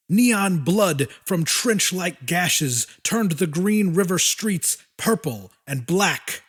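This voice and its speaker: A man's voice: sits high, breathy edge. A man reading scripted fiction as an audiobook narrator, in a dramatic storytelling style.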